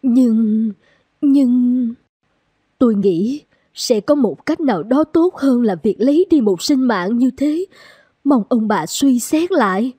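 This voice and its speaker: A woman's voice: on the high side, lively intonation, takes it slowly, warm tone, slightly rough, no room sound, studio quality, full character voice. A woman voicing a humble, troubled middle-aged servant mother in a cartoon fairy tale, earnest and pleading. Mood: anxious pleading.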